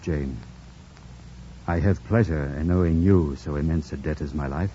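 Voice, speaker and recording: Gruff gentleman, very low voice, brooding, commanding, formal radio-drama delivery, dull vintage recording with faint noise. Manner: formal, theatrical, commanding phrasing. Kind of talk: 1940s radio drama acting.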